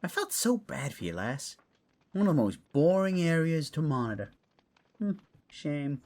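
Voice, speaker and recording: An eccentric old-world fantasy lord as acted for an audio roleplay, his pitch swooping widely as he chatters. He is genial and courtly, with a mischievous theatrical flourish. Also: big pitch swings, a little slow, darkish tone. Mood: playful.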